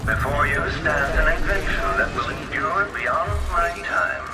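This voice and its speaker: An actor playing a haughty, eccentric genius inventor villain in a video game, theatrical, grandiose and condescending, coolly menacing. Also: male voice, a little high, lively intonation, a little slow. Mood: boastful. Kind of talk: dramatic video game voice acting. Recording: game music over the voice.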